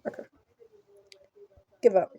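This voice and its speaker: A woman reading visual novel text aloud in an amateur let's play, halting and often repeating words as she goes. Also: mellow, dull audio.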